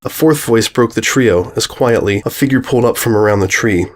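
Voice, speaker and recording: A man's voice: fairly low pitch, fairly quick. A man reading scripted story narration as an audiobook narrator.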